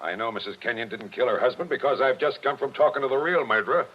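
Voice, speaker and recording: An actor playing a blunt, impatient police captain in a 1940s radio mystery. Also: male voice, medium pitch, expressive, husky. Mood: tough. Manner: blunt, pushy, brisk. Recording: dull old radio broadcast.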